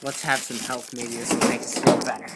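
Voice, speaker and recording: A man's voice: mid-range voice, flat delivery, fairly quick, very noisy. A young man making a playful home vlog, acting out a video game with dramatic exclamations and claims of feeling dizzy. He films himself with a handheld phone, moving through a backyard and a house. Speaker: vlogger. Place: backyard and house, outdoors and indoors. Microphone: handheld phone camera.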